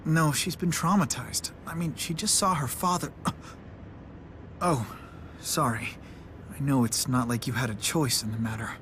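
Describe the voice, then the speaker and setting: A man playing a gentle, considerate, worried young man in a video game, with an earnest delivery. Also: mid-range voice, normal speed, a little hiss or hum, close to an everyday voice. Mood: concerned, apologetic. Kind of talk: dubbed video game cutscene acting.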